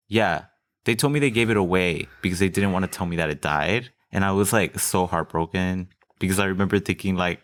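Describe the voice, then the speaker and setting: A man from Mexico co-hosting a podcast in casual chat, rambling through asides and laughing easily. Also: deep voice. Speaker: podcast co-host.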